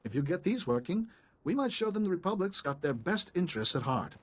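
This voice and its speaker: A man's voice: sits high, very animated, fairly quick. A man playing an eager, polite ambassador in a video game, chatty and apologetic in manner.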